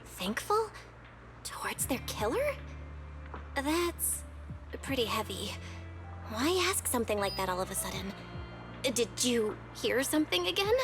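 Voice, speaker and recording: A woman's voice: a little high, very animated, a little slow, long pauses, over music. A woman voicing an earnest, inquisitive young investigator in a video game, conversational and thoughtful. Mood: pensive.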